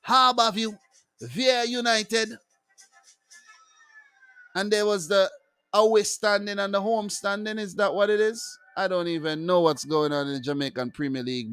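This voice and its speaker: A man's voice: high-pitched, a bit bright, clean tone, dry recording. A man hosting a football live stream, talking in a loose, joking way with frequent restarts. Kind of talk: casual live-stream chat.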